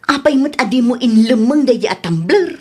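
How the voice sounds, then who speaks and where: A woman's voice: a little high, expressive, normal speed, warm tone, slightly rough. A Philippine-accented woman playing a defensive, evasive married woman accused of petty theft in a comedy radio drama. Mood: scolding, fretful.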